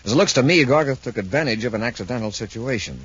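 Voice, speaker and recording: An actor playing a calm, authoritative space patrol commander with a husky voice in a 1950s radio serial. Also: male voice, medium pitch, fast. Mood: decisive. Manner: decisive, patiently explanatory. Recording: muffled 1950s radio recording.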